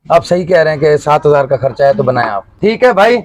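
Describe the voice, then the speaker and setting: A man's voice: a little high, very animated, fast talker, darkish tone. A man playing a polite, anxious job seeker with a broken motorbike in an acted moral-story skit.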